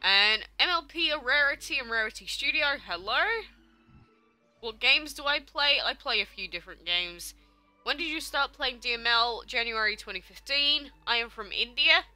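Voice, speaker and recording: A woman's voice: on the high side, expressive, moderate pace, sharp tone, soft background music. A young woman, streaming a game live and chatting casually as she answers viewers' questions. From England. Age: young adult.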